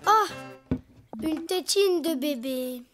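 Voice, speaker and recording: A performer voicing a cheerful, imaginative little boy donkey in a cartoon, in a childlike, expressive way. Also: sits high, takes it slowly, soft background music, heavily acted. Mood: playful. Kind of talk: cartoon voice acting.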